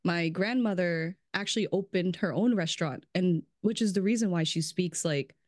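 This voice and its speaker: A woman chatting casually as a podcast guest, talking about restaurants, full of "like" and quick laughs. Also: lowish voice, a little mellow, no echo, studio quality. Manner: chatty, fills speech with "like", laughs often. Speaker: brand strategist guest.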